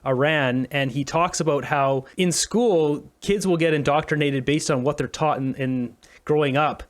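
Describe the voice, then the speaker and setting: A man giving casual, blunt and mocking commentary on video clips as the host. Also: fairly high pitch.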